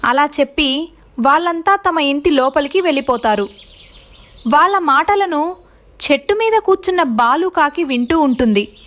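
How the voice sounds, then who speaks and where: A woman storyteller with a high voice narrates a children's tale. Her delivery is scripted narration, linking the scenes of a cartoon moral story. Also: animated, normal speed, a bit bright.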